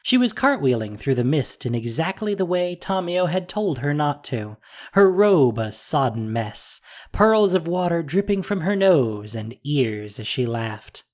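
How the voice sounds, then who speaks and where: A narrator reading a scripted fantasy audio drama in a measured, dramatic, descriptive way. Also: medium pitch, very animated, a little mellow.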